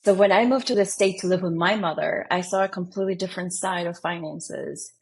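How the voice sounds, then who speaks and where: A woman from the Dominican Republic, a financial planner, telling her own story in a measured, reflective way as an interview guest. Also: on the low side, gravelly, husky.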